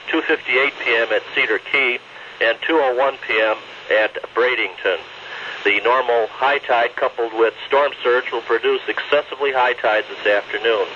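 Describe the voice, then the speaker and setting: A man reading an official weather bulletin as a radio announcer, in a formal, matter-of-fact manner. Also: medium pitch. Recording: dull noisy radio broadcast recording.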